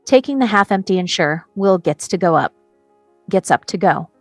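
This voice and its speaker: A woman's voice: mid-range voice, expressive, normal speed, dry recording, soft background music. A woman reads screenplay stage directions as scripted narration, setting scenes and describing action.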